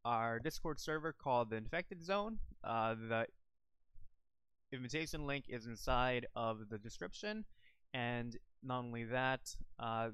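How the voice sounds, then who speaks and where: A man's voice: medium pitch, fairly bright tone, clean tone, no room sound. A young man from the Philippines chatting casually on a live stream from home, rambling with lots of fillers and restarts into a desk microphone. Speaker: YouTuber streaming about an online game.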